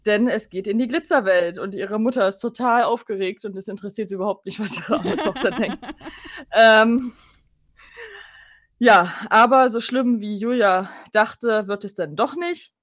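A woman's voice: medium pitch, moderate pace, no echo, studio quality. A woman co-hosting a podcast, giving a casual recap and chatting informally. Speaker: podcast co-host.

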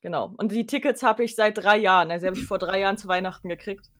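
Woman with mid-pitched voice, chatting informally. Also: fast talker, fairly bright tone. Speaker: podcast co-host. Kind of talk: casual chat.

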